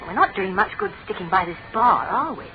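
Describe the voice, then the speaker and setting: A thin, phone-like radio drama recording. An actress plays a pert British secretary, proper and tart, her lines animated with dry wit. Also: mid-range voice, a little fast, natural voice. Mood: wary. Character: pert, witty female detective's secretary.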